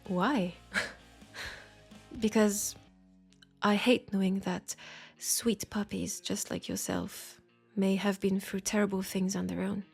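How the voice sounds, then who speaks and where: A woman with a French accent playing a knowing, teasing witch in an intimate roleplay audio drama, with husky, breathy speech and dramatic pauses. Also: mid-range voice, fairly even intonation, soft background music, exaggerated voice. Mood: tender, teasing. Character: knowing, teasing, compassionate witch in a secluded werewolf town.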